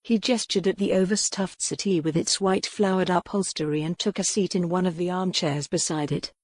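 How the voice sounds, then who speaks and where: A woman narrating a novel in a measured, even storytelling manner on a close mic. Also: on the low side, no echo. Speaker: audiobook narrator. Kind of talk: scripted narration.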